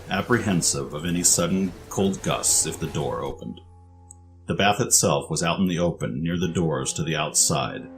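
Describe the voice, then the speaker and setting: Male narrator, very low voice, scripted fiction read over faint music.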